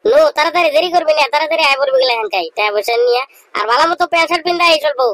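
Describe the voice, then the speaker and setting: A man voicing a scheming, pushy village bachelor in a comic cartoon, with a pitched-up voice and a rural regional Bengali accent, talking in a colloquial, badgering way. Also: lively intonation, rapid-fire, bright, forceful tone, no room sound, full character voice. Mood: urging.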